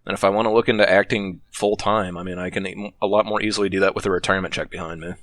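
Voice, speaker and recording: A man's voice: low voice, fairly even intonation, racing, a bit bright, no room sound. A man rambling through anecdotes as a podcast guest, chatty and laughing often. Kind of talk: casual podcast chat.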